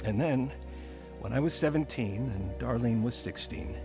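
A man's voice: mid-range voice, lively intonation, moderate pace, creaky. An actor playing a reflective, remorseful man who narrates his own youth in a radio drama. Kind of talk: scripted first-person drama narration. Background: music.

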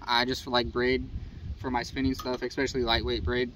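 A man's voice: mid-range voice, completely flat delivery, normal speed, fairly bright tone. A young man with a Midwestern American accent, casually running through his fishing gear to camera, talking in an easygoing, rambling way. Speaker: fishing channel host. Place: outdoors beside a house. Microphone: phone camera at arm's length. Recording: slightly distant phone audio, faint outdoor noise.

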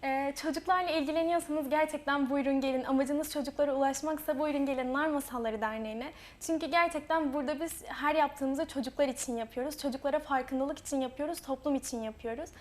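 A woman's voice: sits high, fairly even intonation, fast, few pauses. A young woman, a student author, answering interview questions earnestly. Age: young adult. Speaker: guest, student author and association vice-president.

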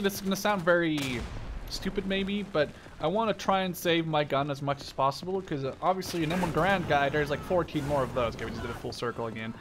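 A man in his twenties giving casual, sweary commentary over first-person shooter gameplay in an excitable manner. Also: a little high, noisy background. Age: 20s. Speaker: gaming video creator. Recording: loud gunfire and explosions behind. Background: war game sound effects, gunfire, machine guns.

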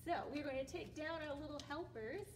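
A woman's voice: sits high, steady tone, fairly quick. A woman in her thirties hosting a sing-along storytime in a library, speaking directly and encouragingly to young children. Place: library room among bookshelves, indoors. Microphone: camera mic a few metres away. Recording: distant mic, room noise.

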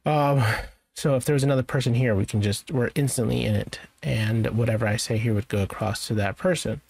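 A man presenting a software tutorial in a casual, informal way, thinking aloud as he goes. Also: mid-range voice, fairly quick, gravelly, no echo. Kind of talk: casual screen-share software walkthrough.

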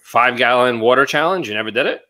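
A man's voice: mid-range voice, animated, fast, bright, forceful tone. A man bantering casually as a panelist on a group video call. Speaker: podcast panelist. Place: home room on a video call.

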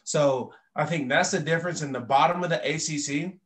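A man's voice: a little high, fairly quick. Emphatic young man on a video call. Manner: emphatic, animated, uses many fillers. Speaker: guest, former college football player. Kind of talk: casual sports talk. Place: indoor room.

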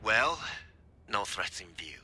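An actor playing a stoic, deadpan ex-gangster assassin in a video game, terse and measured over a two-way radio. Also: male voice, on the low side, heavily acted. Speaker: game character, lookout. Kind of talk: video game cutscene acting. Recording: radio transmission with faint noise.

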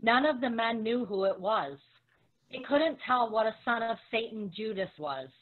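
A woman's voice: on the high side, lively intonation, normal speed. A woman playing a grieving, devoted follower of Jesus in a dramatic scripture reading. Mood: solemn. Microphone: video call. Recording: dull, lo-fi video-call audio.

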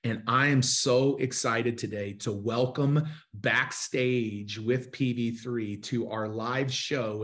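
Excited guy in his 40s hosting a live show over a video call, gushing about his guest, mid-pitch, talks with his hands. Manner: enthusiastic, gestures while talking. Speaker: host. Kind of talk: casual interview. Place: home studio, indoors.

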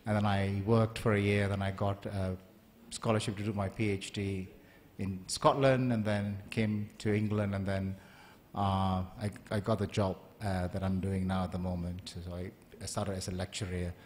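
A man in his forties, from Malaysia, giving a polite, measured university lecture at a stand microphone with frequent ums and uhs. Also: deep voice, level tone, darkish tone, slight background noise. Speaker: guest lecturer. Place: large lecture hall, standing at a lectern. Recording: long hall reverb.

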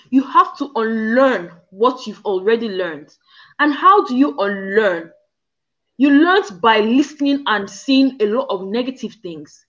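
A woman's voice: on the high side, a bit bright. A young African woman co-hosting a casual discussion from home over a video call, explaining earnestly with examples. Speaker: co-host. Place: indoors at home. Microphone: video call, front camera. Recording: muffled video call audio.

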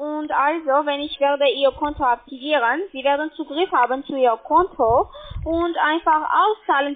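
Casting: female phone sales agent, foreign accent, non-native, high voice, halting broken sentences, thin telephone sound. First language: not German. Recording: tinny, muffled phone line.

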